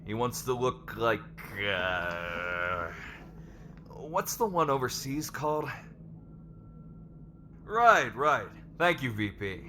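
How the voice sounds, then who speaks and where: A man playing a stern, businesslike lord on a company board, firm and measured, in an ASMR roleplay. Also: mid-range voice, big pitch swings, pushed and bright, slight background noise, exaggerated voice. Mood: chatty, grumbling. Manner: measured, firm, matter-of-fact.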